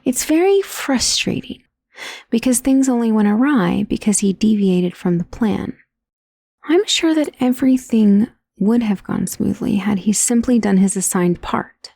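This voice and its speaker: Casting: female performer as noble vampire mistress for ASMR roleplay, mellow with gravelly fry, soft, genteel and protective, close-miked. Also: mid-range voice, dry recording. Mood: composed, frustrated. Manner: formal, refined, genteel wording. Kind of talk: ASMR roleplay, intimate dramatic monologue. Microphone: close, dry studio microphone.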